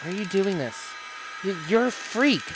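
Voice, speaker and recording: A streamer acting out a desperate, shrill, terrified victim. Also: big pitch swings, normal speed, background noise, natural voice. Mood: terrified, pleading. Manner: desperate, accusing, rising to shouts. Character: terrified victim pleading with a monster. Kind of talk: visual novel voice acting. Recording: loud music under voice. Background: loud music.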